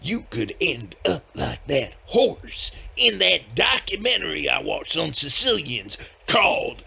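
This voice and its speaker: A man playing a bossy, dim-witted Southern backwoods older brother in an audio comedy, with a folksy drawl and a raspy, strained voice. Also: on the high side, very animated, unhurried, full character voice. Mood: menacing, teasing. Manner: folksy, loud, scolding, ungrammatical drawl. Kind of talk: audio comedy-horror acting.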